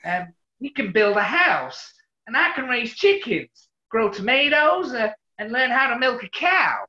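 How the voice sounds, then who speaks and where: An actress playing a brassy New York character with an American accent, brash and animated, in 1940s radio comedy style. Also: female voice, a little high, measured pace, exaggerated voice. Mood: brassy, wistful. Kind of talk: 1940s radio play acting. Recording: roomy, muffled online recording.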